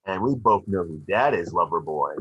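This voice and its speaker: A man voicing a small, wisecracking, excitable detective creature at a script table read. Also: low-pitched, normal speed, breathy edge, no room sound, lo-fi sound, full character voice. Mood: wisecracking, brisk.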